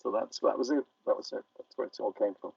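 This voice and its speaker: Older man, soft dark tone, halting interview talk, self-effacing, dull distant recording. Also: lowish voice, gravelly, husky, no echo. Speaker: playwright being interviewed. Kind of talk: casual reflective interview. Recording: dull, muffled, distant radio-like sound.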